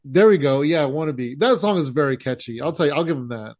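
An American man hosting a casual interview, easygoing and quick to agree. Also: a little high, animated, a little mellow, no echo, studio quality. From United States. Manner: easygoing, says "hell yeah", laughs along. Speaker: host.

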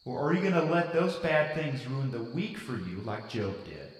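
An actor playing an earnest, folksy youth camp preacher, giving a conversational sermon full of rhetorical questions. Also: male voice, medium pitch, big pitch swings, moderate pace, lightly acted. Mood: earnest, exhorting. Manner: rhetorical questions, conversational tag words like "right?" and "okay?". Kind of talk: sermon to young campers. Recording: echoey hall, distant from the mic.

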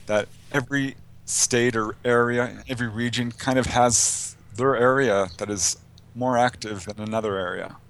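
A man's voice: medium pitch, steady tone, moderate pace, fairly bright tone, a little hiss or hum. A man answering interview questions as a researcher, in a hesitant, conversational way. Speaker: interview guest, UFO researcher.

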